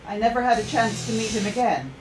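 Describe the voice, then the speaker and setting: A woman playing a haunted former nurse recounting her memories in a halting, reflective monologue at a staged reading. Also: a little high, animated, lightly acted. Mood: haunted, emphatic. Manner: halting, with repeated words. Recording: roomy, distant mic, music behind.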